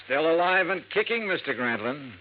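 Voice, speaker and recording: Casting: male actor as wry American insurance investigator, radio noir, clipped and animated, slightly higher pitch, muffled vintage sound. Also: moderate pace, slight background noise, lightly acted. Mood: wry, brisk. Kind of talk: 1950s radio noir acting with first-person narration. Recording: muffled old radio recording with music.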